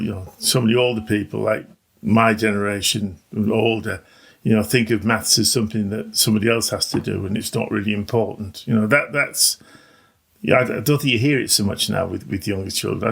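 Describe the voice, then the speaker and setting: A man in his sixties with a creaky, husky voice. He talks informally and reflectively as a veteran teacher, halting and modest as he goes. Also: lowish voice, a bit bright. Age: 60s. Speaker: veteran maths teacher, podcast guest. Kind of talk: reflective, informal interview talk.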